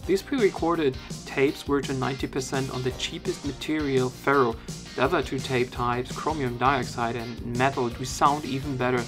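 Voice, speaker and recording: A man's voice: mid-range voice, steady tone, moderate pace, over music. A man narrating a tech review in a casual way.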